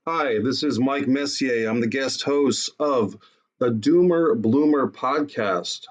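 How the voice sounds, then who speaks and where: Male interviewer, mid pitch, friendly casual questions with some hesitation. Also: takes it slowly. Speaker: guest host.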